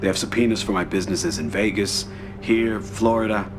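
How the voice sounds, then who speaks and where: An actor playing a middle-aged American mafia boss in a game cutscene, cold and commanding, speaking in measured, terse phrases. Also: male voice, fairly low pitch, music in the background, lightly acted. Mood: grave. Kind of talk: video game cutscene acting.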